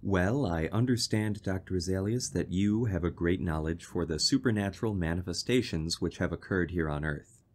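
A man playing an earnest, worried fiancé in an audio drama, speaking politely and seriously in a measured way. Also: low-pitched, darkish tone, slight background noise, lightly acted. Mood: earnest, uneasy. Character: earnest, worried young fiancé telling his strange story. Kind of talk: audio drama acting and narration.

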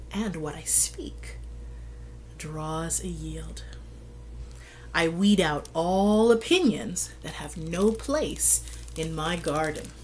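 Slow, expressive woman reading aloud. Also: on the low side. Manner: measured, dramatic pauses, hushed breathy emphasis. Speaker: storyteller reading a picture book aloud. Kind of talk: expressive read-aloud for children. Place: indoor room at home. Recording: faint background room noise.

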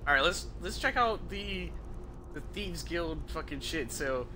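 A man's voice: fairly high pitch, animated, a little slow, background noise. A man giving casual, informal video game commentary with a foul-mouthed manner. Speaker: gaming video host.

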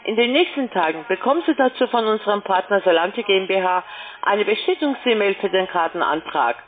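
A woman's voice: mid-range voice, lively intonation, a little fast, faint music behind. Woman telemarketer on a muffled phone line. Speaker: call-centre telemarketer. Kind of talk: scripted phone sales pitch. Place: phone call.

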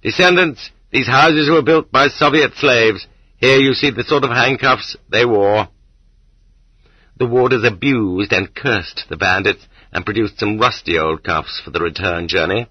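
A man's voice: mid-range voice, unhurried, slightly rough, no echo. A man reading a nonfiction audiobook as scripted narration, on a dull cassette recording. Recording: dull lo-fi cassette transfer, dry.

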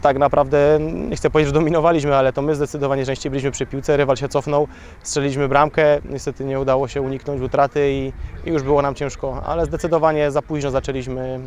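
Flat-voiced coach interviewed by the pitch. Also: male voice, slight background noise. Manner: hesitant, many fillers, measured. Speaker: youth football coach. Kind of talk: post-match interview. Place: outdoors beside a football pitch.

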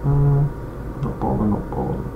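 A man's voice: on the low side, unhurried, vocal fry. A man with an accent, casually thinking aloud as he plays a strategy game and comments on his moves. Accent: regional accent he says some may not follow. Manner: relaxed, thinking aloud, mumbled asides. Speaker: gaming channel host. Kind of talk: let's play commentary. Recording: dull lo-fi home mic with loud hiss.

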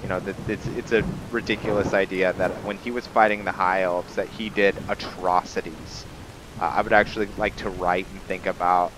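A man with a fairly low voice talks very slowly through his roleplay game. He muses and halts as he thinks aloud, reflecting as he plays. Faint rain and thunder from the game sit behind him. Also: background noise. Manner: musing, halting, thinking aloud. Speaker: gamer narrating a roleplay playthrough. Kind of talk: unscripted reflective gameplay commentary. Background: game ambience of rain and thunder.

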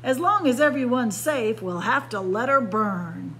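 Storybook fire chief, performed by a woman: folksy, hearty, hollering, high-pitched, some noise behind. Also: animated, a little slow, a bit bright. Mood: grave, hollering. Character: urgent, folksy male fire chief in a picture book. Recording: clear background noise with hum.

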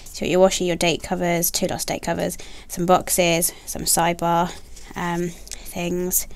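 A young woman chatting casually about planner stickers, soft and gushing, with many ums and likes. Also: fairly low pitch, completely flat delivery, unhurried. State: has a bad cold, nasal and throaty. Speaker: planner sticker haul vlogger. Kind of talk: casual haul chat. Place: indoors at a table, filming her hands.

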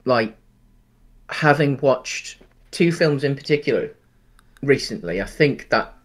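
A man's voice: medium pitch, lively intonation, slow pace. A man in his thirties, co-hosting a casual film chat over a headset at home, talking with many ums and restarts. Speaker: podcast co-host. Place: home room, indoors.

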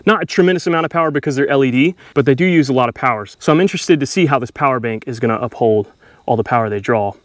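A young man talking casually to his camera while camping, relaxed and chatty, with frequent laughs. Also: medium pitch, very fast, no room sound. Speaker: vlogger. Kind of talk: casual vlog narration. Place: in a tent and at a campsite in the woods. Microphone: handheld action camera.

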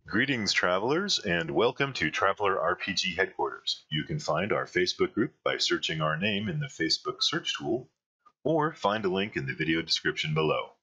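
A man's voice: low voice, very animated, moderate pace, pushed and bright, slightly rough, lo-fi sound. A man hosting an interview, friendly and chuckling, with many fillers. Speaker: podcast host. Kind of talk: casual interview chat.

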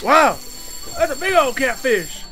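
Very high-pitched dopey Southern redneck. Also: male voice, sing-song, sharp tone, soft background music, exaggerated voice. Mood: gleeful. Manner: slow-witted, naive, folksy. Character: dim-witted, cheerful, childlike redneck fishing buddy. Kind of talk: comedic audio drama.

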